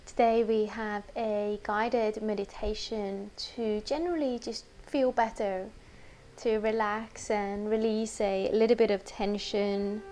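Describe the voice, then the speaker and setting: A woman teacher leading a guided meditation in a gentle, unhurried, conversational way. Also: mid-range voice, steady tone.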